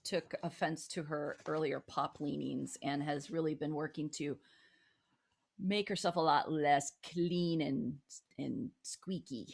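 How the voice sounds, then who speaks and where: Chatty woman with a slightly low voice. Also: steady tone, slow pace, creaky, slightly rough. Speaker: talk show co-host. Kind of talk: casual music and fan chat.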